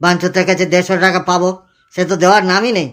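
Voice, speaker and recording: A man's voice: sits high, level tone, very fast, lightly acted. A man voicing a hot-tempered, foul-mouthed young village man in a comedy cartoon, brash and crude. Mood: irritated, ranting. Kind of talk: exaggerated comedy cartoon dialogue. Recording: clearly noisy recording.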